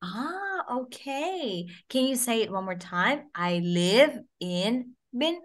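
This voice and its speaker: A woman in her twenties from the Philippines, teaching English to a child over a video call and modelling phrases slowly in a sing-song way. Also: on the high side, big pitch swings. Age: 20s.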